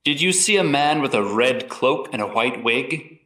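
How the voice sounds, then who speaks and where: A man's voice: mid-range voice, animated, moderate pace, pushed and bright. A man playing a formal, probing 18th-century lawyer examining witnesses in a scripted trial re-enactment. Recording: roomy echo, distant from mic.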